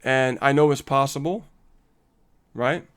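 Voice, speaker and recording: A man's voice: mid-range voice, a little slow, fairly bright tone, clear, resonant tone. A man co-hosting a casual live coaching stream, upbeat and motivational, laughing often and reading viewer comments aloud.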